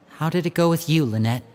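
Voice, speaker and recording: A man playing a composed, loyal young magician in a video game, speaking in a measured, smooth, explanatory way. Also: fairly high pitch, darkish tone, lightly acted. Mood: wistful. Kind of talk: video game dialogue acting.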